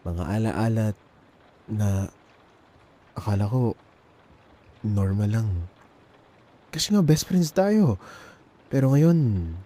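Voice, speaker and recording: Young Filipino male for intimate ASMR roleplay: emotional best friend, halting casual delivery, deep-leaning and very soft, dark timbre. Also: lively intonation. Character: emotional young man confessing love to his best friend. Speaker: ASMR audio roleplay performer.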